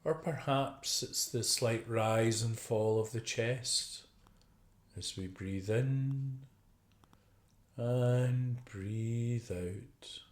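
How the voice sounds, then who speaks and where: A man leading a guided meditation as a teacher, speaking gently and unhurriedly with long pauses. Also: mid-range voice. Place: indoor room. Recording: roomy, echoey video call recording.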